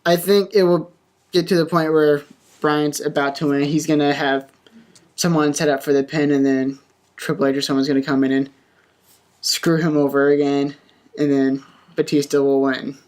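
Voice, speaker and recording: A woman with a notably low voice chats from a couch, giggling often and teasing her friends. she hesitates and restarts as she weighs her words. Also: little pitch movement, normal speed, darkish tone. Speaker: podcast co-host. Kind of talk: casual chat. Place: indoors on a couch.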